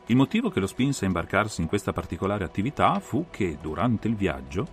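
A man performing an analytical gentleman detective recounting a solved case in a dramatized audiobook, with measured, theatrical phrasing. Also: on the low side, big pitch swings, soft background music. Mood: measured, explanatory. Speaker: audiobook reader and interpreter.

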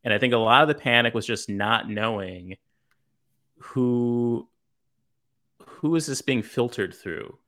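Monotone man with a bright, crisp voice. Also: medium pitch, a little slow, pauses often. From Los Angeles, USA. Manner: monotone, hesitant with many fillers. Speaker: writer, podcast guest. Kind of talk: casual interview answers.

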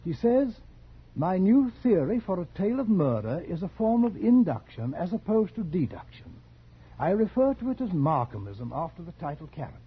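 Casting: genteel scholar-blackmailer, vintage radio thriller, highish lilting voice, polite menace, muffled old recording. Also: male voice, sing-song, normal speed, husky, heavily acted. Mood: scholarly, deliberate. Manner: polite, precise, insinuating. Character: scholarly male collector, genteel, sly, quietly menacing blackmailer. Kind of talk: 1940s radio drama acting. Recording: dull, slightly noisy 1940s radio recording.

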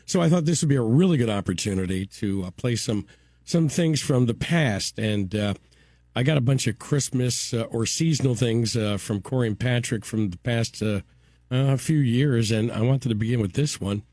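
A man's voice: medium pitch, a little mellow, dry recording. A man hosting a morning radio show, reading news items and chatting, his voice still recovering from being sick. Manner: brisk news read with fillers in chat. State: voice recovering after illness.

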